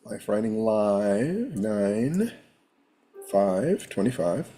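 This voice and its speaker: A man hosting a live stream from home, talking casually in a rambling way, with a rough, hoarse voice and frequent throat clearing. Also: on the low side, big pitch swings, extremely slow, mellow.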